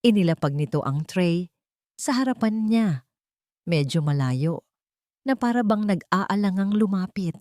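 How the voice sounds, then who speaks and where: A woman with a Filipino accent narrating a scripted romantic drama story in a dramatic, measured storytelling manner. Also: mid-range voice, lively intonation, a little mellow, dry recording, clean studio sound.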